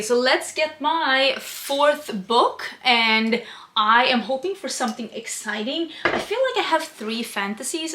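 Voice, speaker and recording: A young woman chats about her reading plans to camera. Her voice sits a little high and sounds crisp and bright. She talks in a friendly, rambling way, full of "like" and little asides. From Sweden. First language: Swedish. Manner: chatty, upbeat, lots of "like" fillers. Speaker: book vlogger. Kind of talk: casual book chat to camera.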